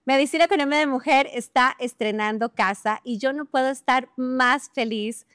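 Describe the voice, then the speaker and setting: Bright-voiced woman hosting a podcast. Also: high voice, moderate pace, smooth, no room sound, studio quality. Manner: warm, effusive and enthusiastic. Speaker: podcast host. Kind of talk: interview conversation.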